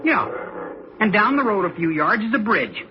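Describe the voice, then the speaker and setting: Cocky teenage tough, muffled old radio. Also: male voice, high-pitched, big pitch swings, moderate pace. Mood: cool menace. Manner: slangy, taunting, tough. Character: cocky, menacing teenage boy gang leader. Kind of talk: old radio drama acting. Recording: muffled vintage radio broadcast with music.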